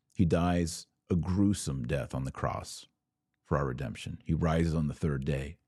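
A man with a low voice hosts a religious podcast in an informal, emphatic way. His pitch moves in lively swings as he stresses and repeats key phrases. The sound is close and dry. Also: husky, no room sound.

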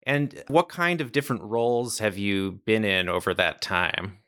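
A male podcast host asking interview questions in a clear, measured way and reading the show's outro. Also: lowish voice, sharp tone.

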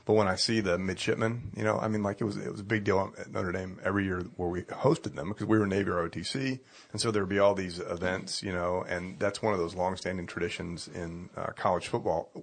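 A middle-aged man, a pastor, chatting casually in a hoarse voice and telling stories in an animated way. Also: sits low, brisk, few pauses. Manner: chatty, animated storytelling with laughs. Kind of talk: casual chat.

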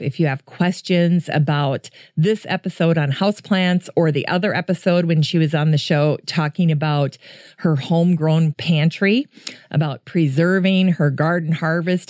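A woman with a very low voice, deeper than usual after coughing, hosts a gardening podcast. She talks to listeners in a chatty, friendly way. It is recorded close to the mic in a dry, dead room. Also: a little mellow, no echo. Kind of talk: casual solo podcast talk.

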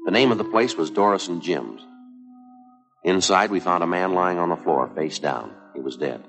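An American man playing a stoic police detective, narrating a 1950s crime drama in a clipped, deadpan, factual way. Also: low voice, moderate pace, gravelly. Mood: flat, businesslike. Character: stoic, businesslike male police detective sergeant narrating cases. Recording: 1950s TV soundtrack with music behind.

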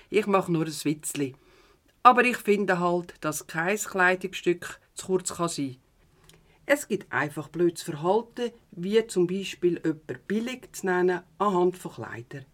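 A woman's voice: lowish voice, a bit bright. A woman with a Swiss German accent playing an easygoing, supportive mother of a teenager in a youth radio play. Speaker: actor in an audio drama.

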